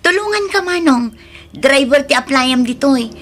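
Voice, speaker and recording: Radio drama elder sister: higher-pitched, earnest, reassuring, Ilocano Filipino accent, clearly noisy recording. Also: female voice, lively intonation, normal speed, fairly bright tone. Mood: caring, upbeat. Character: responsible, caring elder sister working abroad for her family.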